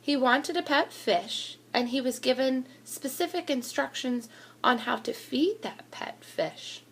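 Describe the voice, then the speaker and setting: A woman with a high voice reads a children's storybook aloud. She takes her time, with a deliberate, animated storytelling delivery that puts expressive emphasis on the words. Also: slight background noise. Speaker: school reader of children's books. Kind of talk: expressive children's picture book read-aloud.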